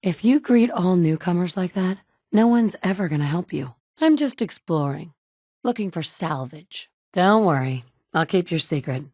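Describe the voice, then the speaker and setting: A woman's voice: lowish voice, lively intonation, normal speed, mellow, no echo, studio quality. An actress playing a determined, guarded woman survivor in a video game, speaking in a clipped, matter-of-fact way. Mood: cool, wry. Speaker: voice actor for a video game player character. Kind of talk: video game dialogue lines.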